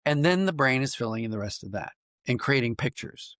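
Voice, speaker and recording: A middle-aged or older American man explaining ideas in a conversational interview, thoughtful and halting, often restarting his phrases. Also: medium pitch, expressive, fairly bright tone, dry recording, clean studio sound. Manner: thoughtful, halting with repeated false starts. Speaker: podcast guest, writer and dramatist.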